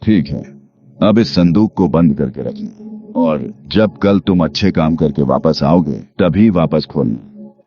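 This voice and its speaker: An actor playing the stern, avenging ghost of a murdered village headman in an animated moral story, with a commanding, theatrical delivery. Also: rumbling low, slow pace, heavily acted. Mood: solemn. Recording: dull lo-fi audio. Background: music.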